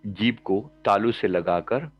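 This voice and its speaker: Low, slow-paced man guiding meditation. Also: breathy edge, dull audio, soft background music. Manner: calm, measured, with frequent pauses between short phrases. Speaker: meditation teacher and life coach. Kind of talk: guided meditation instruction.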